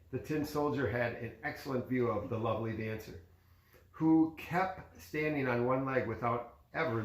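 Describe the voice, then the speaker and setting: Casting: father-type male storybook reader, mid-pitched, conversational with casual asides to a child, recorded in an echoey room. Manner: conversational, pointing out pictures to a child. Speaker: father reading a story aloud to his child.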